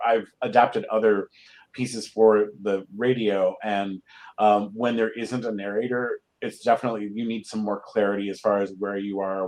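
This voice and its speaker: A man speaking as a playwright guest, explaining his craft casually in a halting, thoughtful way. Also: on the low side, a little mellow.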